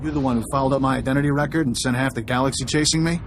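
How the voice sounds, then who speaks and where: A man's voice: mid-range voice, steady tone, very fast, natural voice. A male actor playing a smug, roguish thief in a sci-fi video game, glib and sarcastic, with noisy ambience behind him. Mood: smug, mocking. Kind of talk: video game cutscene acting.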